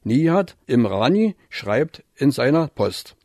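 Male mailbag host for radio, mid-pitched, formal scripted read, close dry sound. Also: big pitch swings, slow pace, darkish tone, dry recording. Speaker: radio listener-mail presenter. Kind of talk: scripted reading of listener mail.

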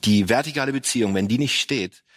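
A man, a pastor and father, speaking thoughtfully on a discussion panel with frequent pauses and fillers. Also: mid-range voice, breathy edge. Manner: reflective, halting, with many fillers. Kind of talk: panel discussion on parenting and faith.

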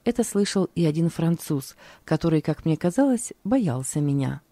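Low, mellow woman reading calmly. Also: moderate pace, no room sound. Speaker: narrator. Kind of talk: scripted reading of a religious diary.

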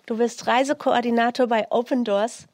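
A woman's voice: on the high side, fairly even intonation, normal speed, darkish tone, dry recording, studio quality. A woman hosting a show, welcoming a guest with a prepared introduction and questions. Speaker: host. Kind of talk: scripted show welcome and interview.

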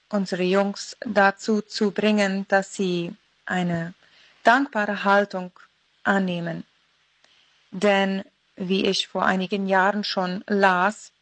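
A woman's voice: mid-range voice, fairly bright tone, no room sound, low-quality recording. A woman in her thirties giving a short personal devotional talk as a guest contributor. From Philadelphia (Mennonite colony).

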